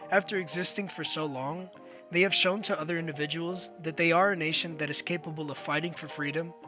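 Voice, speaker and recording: Higher-voiced man narrating over clear music. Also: fairly even intonation, brisk. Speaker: documentary narrator. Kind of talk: scripted narration.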